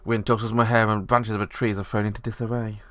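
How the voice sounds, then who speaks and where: A man's voice: lowish voice, little pitch movement, quick pace, a bit bright. A man reading the narration of a visual novel aloud, steadily, with small slips.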